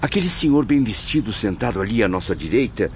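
A man's voice: lowish voice, sing-song, quick pace. A man acting in a radio drama as a deferential, hesitant spirit apprentice who speaks formally. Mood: astonished, doubtful. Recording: muffled lo-fi audio with faint noise.

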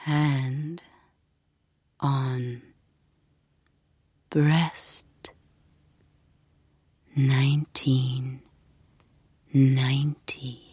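A woman acting in a radio play, reciting an artwork's title and dimensions in a breathy whisper. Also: a bass voice, a little mellow, dry recording, exaggerated voice.

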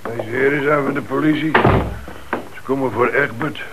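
A man's voice: medium pitch, steady tone, normal speed, close to an everyday voice. An actor in a Dutch radio play playing a middle-aged father, polite and resigned, giving short, weary answers. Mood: polite, wary. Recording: noisy old radio play recording.

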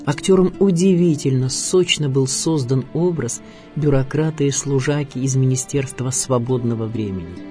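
A man narrating a scripted radio biography in a measured, expressive storytelling manner. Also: medium pitch. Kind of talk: scripted documentary narration with dramatic readings. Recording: music bed under voice. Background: music.

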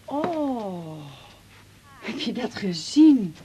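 A woman's voice: on the high side, big pitch swings, drawn-out words, mellow, a little hiss or hum, heavily acted. A middle-aged woman the playing a worried, talkative mother in a radio drama. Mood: brisk, fussing. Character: fussing, worried mother of a grown daughter. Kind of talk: naturalistic radio drama dialogue.

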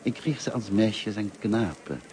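Radio play casting: elderly schoolmaster, formal and wistful, lively low voice, noisy old recording. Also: male voice, unhurried. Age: older. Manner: formal, courteous, hesitant. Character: gentle lonely elderly male French teacher, courteous, wistful. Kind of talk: radio drama acting.

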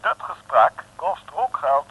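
An actor playing a breezy, pompous, chummy police chief over the telephone in an old comic radio serial. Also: male voice, fairly high pitch, sing-song, takes it slowly, slightly rough, full character voice. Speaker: actor playing a superior officer. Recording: muffled old radio recording.

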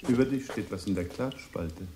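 A man's voice: on the low side, moderate pace, warm tone, soft background music, close to an everyday voice. An actor playing a controlled, shrewd, probing man in a German crime radio play. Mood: quiet, probing. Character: calm, controlled, shrewd middle-aged man of crime drama.

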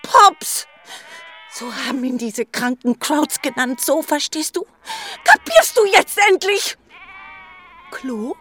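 A woman's voice: high voice, very animated, takes it slowly, a bit bright, slight background noise, full character voice. An actress playing a fervent, indignant woman spinning tall tales in a German radio drama, with emphatic delivery. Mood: excited, proud.

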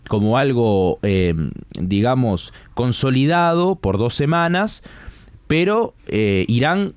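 A man with a Rioplatense Spanish accent hosting a live radio news interview, speaking haltingly as he searches for his words. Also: mid-range voice, lively intonation, clean tone, no echo.

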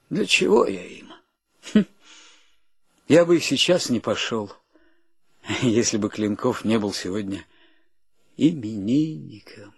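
An actor playing a polite, sensitive husband in a radio play, courteous, halting and emotional, devoted to his friends. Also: medium pitch, slightly rough, no echo, clean studio sound, heavily acted. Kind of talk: theatrical radio drama acting.

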